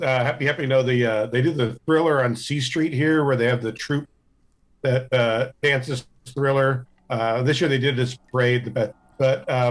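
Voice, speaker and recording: A man talks casually as a player at a tabletop game. His voice is middling in pitch, and his delivery is conversational and a little halting.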